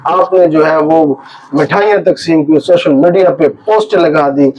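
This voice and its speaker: A high-voiced man with a Pakistani accent delivers an informal law lecture. He explains like an advocate, repeating key points for emphasis. The recording is somewhat muffled and lo-fi. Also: measured pace, a little mellow. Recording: dull, lo-fi muffled audio.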